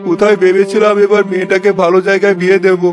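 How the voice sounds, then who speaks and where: A man's voice: sits high, little pitch movement, quick pace, lightly acted. A man voicing a worried, weary old village labourer and father in a cartoon fairy tale, with a plaintive, lamenting delivery. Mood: despairing. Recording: music behind the voice.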